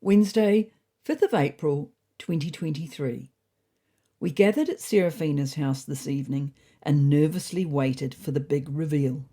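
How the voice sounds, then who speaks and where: A woman narrating a scripted comic story in the first person, with a dry, deadpan manner. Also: sits low, normal speed.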